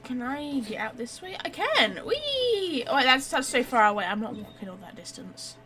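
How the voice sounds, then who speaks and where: A woman's voice: high-pitched, very animated, moderate pace. A woman giving chatty, informal live gameplay commentary, thinking aloud as she goes. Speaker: game streamer.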